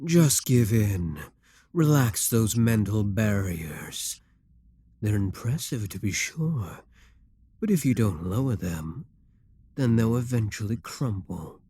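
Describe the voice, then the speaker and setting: A man's voice: fairly low pitch, lively intonation, takes it slowly, warm tone, slightly rough, no echo, heavily acted. A man voicing a possessive, scheming male demon king in an audio roleplay, teasing and condescending. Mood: coaxing.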